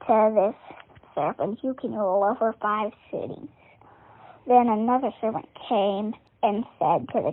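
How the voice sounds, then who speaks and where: A woman's voice: medium pitch, dry recording. Phone-like recording, female scripture reader. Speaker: reader of a Bible passage. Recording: tinny phone-like band-limited audio.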